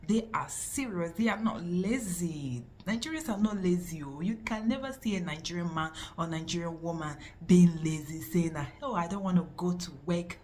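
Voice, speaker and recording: A woman's voice: fairly low pitch, normal speed, few pauses, darkish tone, a little hiss or hum. A woman co-hosting a casual vlog conversation, agreeing emphatically and explaining points at length.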